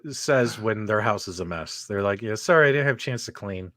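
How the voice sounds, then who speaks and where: A man's voice: fairly low pitch, animated, fairly quick, fairly bright tone, no echo. A man hosting a casual live-stream panel show, chatting informally and teasing his guests. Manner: jokey, teasing, addresses guests and viewers informally. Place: remote online video call.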